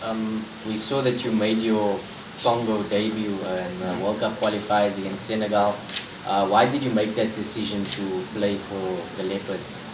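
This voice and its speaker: A man asking measured questions as a reporter, with small ums. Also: on the low side, little pitch movement. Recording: echoey room, distant, noisy.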